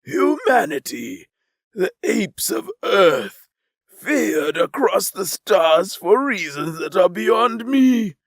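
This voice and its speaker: A man voicing a pompous, arrogant male alien with a grandiose, drawn-out, mocking manner. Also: sits high, very animated, no echo, voice pushed up, full character voice.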